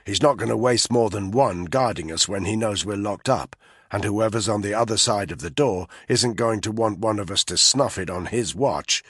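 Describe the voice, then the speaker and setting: A man voicing a hard, commanding male soldier who gives low, clipped orders. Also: moderate pace, fairly bright tone, slightly rough, dry recording, clean studio sound. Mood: tactical.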